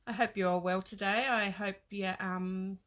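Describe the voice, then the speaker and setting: A middle-aged Australian woman with a croaky voice from a cold, chatting casually as she hosts a craft livestream in a hesitant, rambling way. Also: mid-range voice, steady tone, moderate pace, a bit bright. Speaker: craft demonstrator and livestream host. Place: small home craft room.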